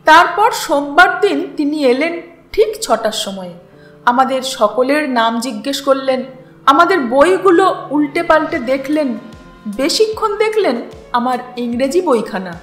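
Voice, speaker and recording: A woman reading a literary story in an unhurried, dramatized way as host. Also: sits high, expressive. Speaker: story reader and channel host. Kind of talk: expressive literary story narration. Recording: roomy echo with music underneath.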